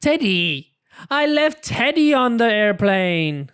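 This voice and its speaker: A storybook reader voicing an excitable, affectionate little girl pig with childlike exclamations. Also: mid-range voice, slow pace, clean tone, pitched above the performer's own voice. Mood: alarmed.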